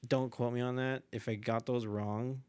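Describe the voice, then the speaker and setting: A man's voice: mid-range voice, flat delivery, moderate pace, a little mellow, clean tone. A man chatting informally as a podcast guest, telling personal stories in a slangy, meandering way. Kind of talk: casual personal storytelling.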